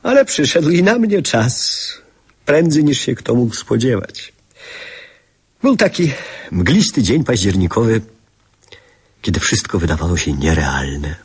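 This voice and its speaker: A man's voice: medium pitch, sing-song, measured pace, pauses often, husky, heavily acted. An actor playing a nostalgic older man who narrates memories of his boyhood in a lyrical, reflective radio drama style.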